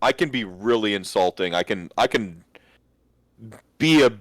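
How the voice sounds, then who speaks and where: A man chatting casually on a hobby stream, animated and swearing freely as he acts out what other people say. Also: lowish voice, moderate pace, no room sound.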